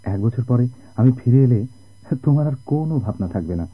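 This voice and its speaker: An actor playing an eager, stubborn sailor husband, pleading tenderly and dramatically in a radio play. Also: male voice, medium pitch, expressive, normal speed, breathy edge. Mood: reassuring. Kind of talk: Bengali radio drama acting. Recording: muffled old radio drama recording.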